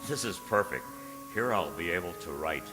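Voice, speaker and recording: A man's voice: sits low, lively intonation, moderate pace. A man playing a secretive, easily unnerved poet, narrating his diary in a literary, theatrical radio drama style. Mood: excited, secretive. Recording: reverberant radio play with music underneath.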